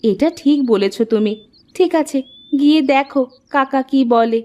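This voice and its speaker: A woman's voice: high voice, normal speed, a little mellow, lightly acted. A woman voicing a caring, inquisitive village housewife in cartoon dialogue. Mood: curious, agreeable.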